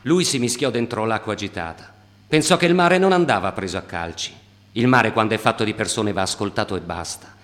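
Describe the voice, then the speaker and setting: A man's voice: on the low side, animated, brisk, a bit bright. A man performer giving a dramatic literary reading in the style of radio theatre. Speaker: stage performer. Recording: long reverb on the voice.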